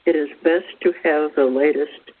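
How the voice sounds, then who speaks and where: A man presenting a spiritual teaching as a read-out talk, with measured, deliberate phrasing in short pieces. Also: a little high, fairly even intonation, slight background noise. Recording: thin phone-like radio sound.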